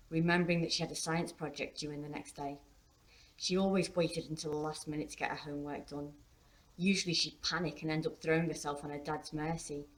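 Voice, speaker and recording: Casting: female author-narrator, low-voiced, expressive storytelling for a young adult novel. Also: rough recording. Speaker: author reading own work.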